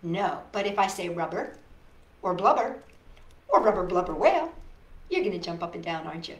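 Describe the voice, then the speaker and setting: A woman's voice: lowish voice, lively intonation, a little fast. A woman hosting a storytime for young children, speaking and reading in a playful, sing-song manner and often putting questions to her listeners. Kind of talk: reading picture books aloud and chatting to young children. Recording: roomy echo.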